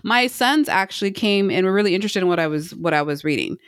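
Earnest, halting woman chatting in a dry space. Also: medium pitch, expressive, clean tone, no echo. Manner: halting, rambling, earnest explaining. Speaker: podcast co-host. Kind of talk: casual chat, reviewing a book.

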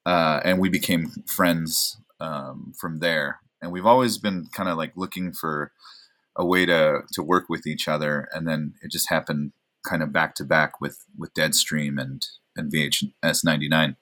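Casting: low-voiced male actor, 30s, laid-back, self-deprecating guest. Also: moderate pace. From Oregon, USA. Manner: relaxed, rambling, self-deprecating, many fillers. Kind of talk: casual interview chat.

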